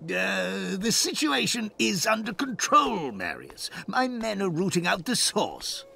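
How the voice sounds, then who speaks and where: Very high-pitched, petulant fat governor. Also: male voice, wildly swooping pitch, unhurried, gravelly, sharp tone, slightly rough, full character voice. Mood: flustered. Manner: pompous, whining. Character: fat, petulant, spoiled imperial governor, whiny younger brother.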